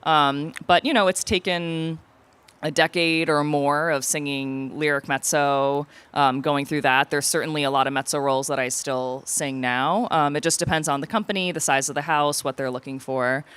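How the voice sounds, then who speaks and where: A woman opera singer with a low contralto voice, explaining things casually as an interview guest. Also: fairly even intonation, normal speed, a bit bright, clean tone.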